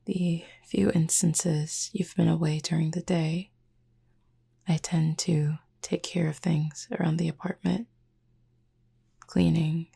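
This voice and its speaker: A woman playing a gentle, reserved cupid roommate in a sleep-aid roleplay, speaking softly and formally in a breathy near-whisper with long pauses. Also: fairly low pitch, heavily acted. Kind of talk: slow, soft-spoken sleep-aid audio roleplay.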